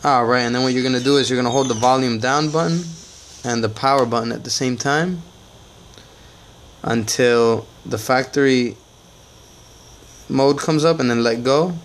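A man's voice: medium pitch, moderate pace, pauses often, slight background noise. A man hosting a casual tech tutorial, talking plainly step by step with frequent "uh" and "all right" fillers. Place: indoors at a table, off camera.

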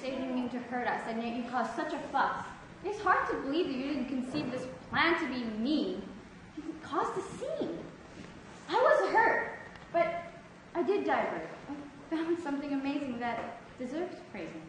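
A woman's voice: sits high, big pitch swings, moderate pace. A teenage girl playing a hurt, proud schoolgirl who speaks in rhyme in an amateur stage play. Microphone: distant camera microphone. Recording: echoey theatre stage, far off, noisy.

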